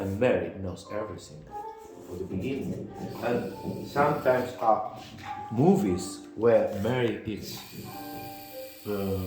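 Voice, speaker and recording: An older man with a Romanian accent, talking in a casual conversation and often pausing to search for English words. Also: on the low side. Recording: echoey room with music behind.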